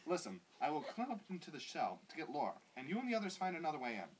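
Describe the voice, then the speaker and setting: A woman's voice: low voice, sing-song, racing, a little hiss or hum, poor audio. A woman voicing an earnest, heroic male elf archer with stilted melodrama in a comic fan fiction reading.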